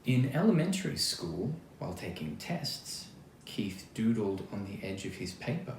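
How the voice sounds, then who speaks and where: Australian male, lowish voice, expressive children's storytime read-aloud with chatty asides. Roomy sound with background noise. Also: moderate pace. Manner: expressive, chatty, addressing young listeners directly. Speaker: actor reading a children's book aloud.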